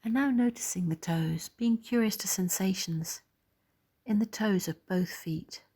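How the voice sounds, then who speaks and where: A woman leading a guided body scan meditation in a soft, gentle, soothing way, pausing between phrases. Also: mid-range voice, husky.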